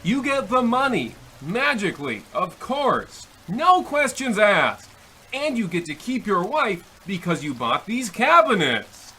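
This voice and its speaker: A man playing a wheedling, impatient devil who pitches deals like a salesman, in theatrical old-time radio comedy style, with a raspy voice. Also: big pitch swings, measured pace, bright, forceful tone, full character voice. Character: fast-talking devil pitching a soul deal, impatient salesman.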